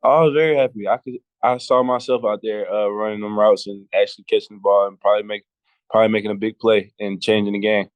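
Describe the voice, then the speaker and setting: A teenage boy from Georgia, a football recruit answering interview questions politely, in a laid-back and halting way. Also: on the low side, fairly even intonation, clear, resonant tone, dry recording, dull audio. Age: teens.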